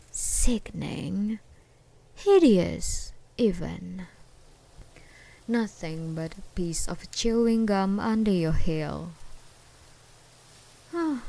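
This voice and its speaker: An obsessive, jilted ex-girlfriend, acted by a woman in an ASMR roleplay. She speaks extremely slowly, in a lowish voice thick with gravelly fry. Every phrase is drawn out into an intimate, possessive threat. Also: heavily acted. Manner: slow, menacing, drawn-out taunting with dark chuckles and whispers. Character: obsessive, menacing jilted ex-girlfriend hunting her beloved.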